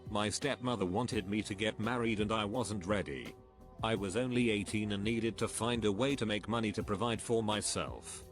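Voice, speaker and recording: Man, low voice, scripted narration, even reading, faint background music. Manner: even, measured reading of questions and answers. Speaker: narrator reading online posts.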